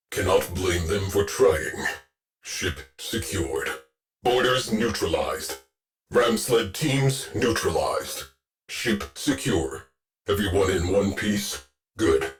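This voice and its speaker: A gruff male artificial intelligence commanding a warship crew in a video game. The voice sits very low and moves slowly, with clipped, deadpan military phrasing. It stays sardonic and coolly authoritative, over faint music. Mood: dry, brisk. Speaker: ship's AI crew officer. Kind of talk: scripted video game voice lines.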